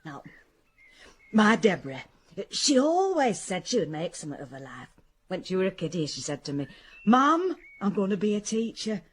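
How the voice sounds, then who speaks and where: Gushing mum, husky and swooping. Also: female voice, medium pitch, very animated, normal speed. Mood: proud. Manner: homely, gushing, chatty. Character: doting, gullible older working-class mother, proud and gossipy. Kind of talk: radio drama acting.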